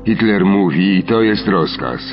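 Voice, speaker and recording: An actor playing a cold, commanding Nazi security chief in a radio documentary drama. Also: male voice, deep voice, lively intonation, measured pace, highs cut off, over music. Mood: stern, commanding.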